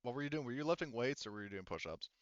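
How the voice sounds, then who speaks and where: Clear-voiced man, halting game master. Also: medium pitch, expressive, dry recording, muffled recording. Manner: halting, rambling, self-correcting, casual. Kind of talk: improvised tabletop game narration.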